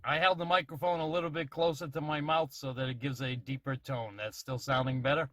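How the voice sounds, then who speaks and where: A man's voice: a little high, steady tone, a little fast, pushed and bright, no room sound. A man giving a casual radio-testing demonstration as a hobbyist, talking in check-ins. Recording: noisy CB radio transmission at times.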